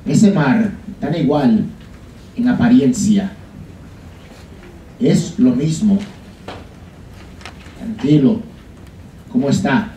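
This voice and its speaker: A young man reading a poem aloud in a slow, measured recital at a college podium. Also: medium pitch, dark tone, slight background noise. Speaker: student reader. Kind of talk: dramatic poetry reading in Spanish. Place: college lecture room. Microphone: podium mic from a far camera. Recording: echoey room, mic far off.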